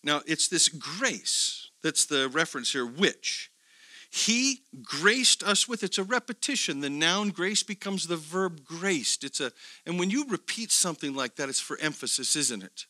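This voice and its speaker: A middle-aged man lectures a seminar group in a bright, forceful voice pitched a little high. He keeps putting questions to his listeners, sinks to murmured asides, then rises sharply for emphasis. The sound is dry and close. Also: measured pace, no echo. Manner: animated, asks the audience questions, swings from quiet asides to loud emphasis. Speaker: theology teacher.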